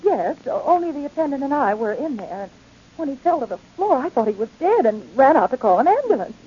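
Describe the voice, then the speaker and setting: A forthright woman in a 1940s radio mystery. Her voice is high yet mellow, with lively swings in pitch. The recording is old and muffled. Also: a little fast. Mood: explaining. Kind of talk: 1940s radio drama acting.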